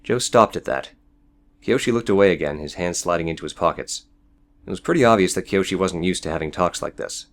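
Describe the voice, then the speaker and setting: A man's voice: low-pitched, animated, fairly quick. A man reading scripted fiction as the narrator of an audiobook.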